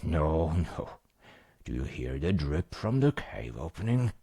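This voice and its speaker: Man putting on a gruff, gravelly mountain troll dad. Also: very animated, takes it slowly, warm tone, exaggerated voice. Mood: firm, reassuring. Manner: booming exclamations, soothing a child. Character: big gruff fatherly male mountain troll, blustery yet reassuring. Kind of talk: bedtime story character.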